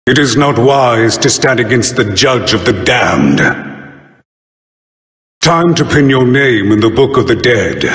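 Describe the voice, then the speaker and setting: An actor playing a bookish bird-headed god and solemn judge of the dead in a video game, with a grand, formal, theatrical delivery and dry wit. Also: male voice, fairly high pitch, a bit bright, exaggerated voice. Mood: stern, condemning. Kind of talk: video game character voice lines. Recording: roomy studio voice with long reverb.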